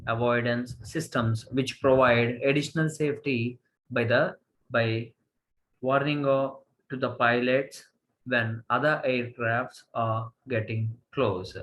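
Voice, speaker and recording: A man with an Indian accent giving a college lecture, halting as he goes and repeating phrases for emphasis. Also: mid-range voice, steady tone, fairly bright tone, low-quality recording. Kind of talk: slide lecture, reading and explaining.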